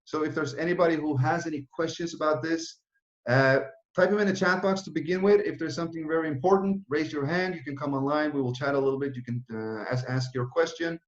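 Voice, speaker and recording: Man in his thirties, roomy lo-fi sound. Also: fairly high pitch. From Norway. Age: 30s. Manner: fast, run-on, with frequent restarts and fillers. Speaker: project founder presenting. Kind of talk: online presentation with Q and A.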